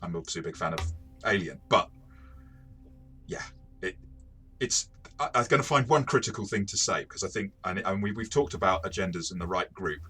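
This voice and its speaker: A man with a fairly low voice and a bright, forceful edge hosts a relaxed review chat. He speaks in a halting way, circling back over words and often chuckling as he talks. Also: husky, faint music behind. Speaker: host, game master reviewing tabletop RPGs. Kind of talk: casual review discussion.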